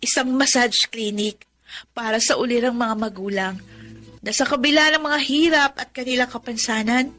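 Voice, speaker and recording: An actress playing a caring, dutiful young Filipina daughter in a radio drama, sweet and earnest. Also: female voice, fairly high pitch, normal speed, fairly bright tone, soft background music, lightly acted. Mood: hopeful, proud.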